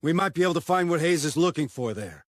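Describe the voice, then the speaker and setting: An actor playing a blunt, no-nonsense military officer giving a mission briefing in a game. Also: male voice, a little high, animated, fast, no room sound, natural voice. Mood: businesslike. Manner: blunt, colloquial.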